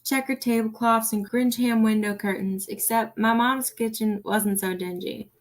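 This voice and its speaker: A woman's voice: on the high side, fairly even intonation, moderate pace, close to an everyday voice. A teenage girl acting a nervous, eager, naive rookie police officer in a student radio play. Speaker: student actor.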